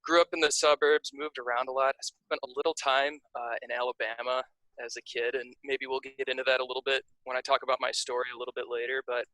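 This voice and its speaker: A man's voice: medium pitch, quick pace, no echo, rough recording. A young man from the Chicago area with a Chicago accent, a podcast guest chatting loosely with lots of fillers and restarts. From Chicago area, USA. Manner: relaxed, rambling, many fillers and restarts. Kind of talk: casual interview chat.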